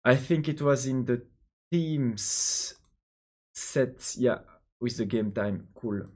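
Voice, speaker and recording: A young man from Belgium with a French accent, thinking out loud while coding, hesitant with many pauses and restarts. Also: medium pitch. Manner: hesitant, many ums and restarts, answers chat questions. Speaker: programmer live-streaming his coding.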